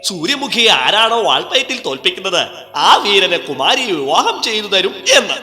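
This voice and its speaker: A cackling, mischievous vetala spirit of a banyan tree, teasing a king in a dubbed cartoon. The voice sits high and swings wildly, with a piercing, bright edge. It rings out in a long, echoey reverb over music. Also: very animated, normal speed, exaggerated voice. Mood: storytelling. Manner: cackling, teasing, theatrical storyteller.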